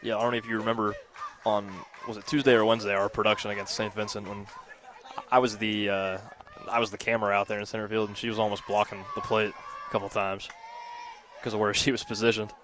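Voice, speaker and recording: A young man giving conversational color commentary on a live softball broadcast. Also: on the low side, flat delivery, fast talker. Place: outdoors at a softball field.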